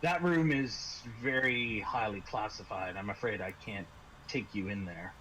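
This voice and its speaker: A man voicing a formal, guarded male android with a measured, polite, stiff delivery in a tabletop game. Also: lowish voice, sharp tone, voice pushed down, rough recording. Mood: reluctant. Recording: noticeable background noise.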